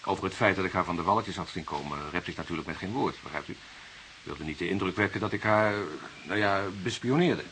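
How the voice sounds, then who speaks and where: A man's voice: sits low, brisk, fairly bright tone. An actor playing a nervous, defensive murder suspect in a Dutch radio drama, hesitant and stammering as he repeats himself. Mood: uneasy, explaining. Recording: hissy radio play recording.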